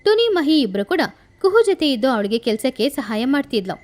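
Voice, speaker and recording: A woman's voice: high-pitched, very animated, fairly quick, darkish tone. A woman narrating a scripted moral story for children as the storyteller. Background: background music.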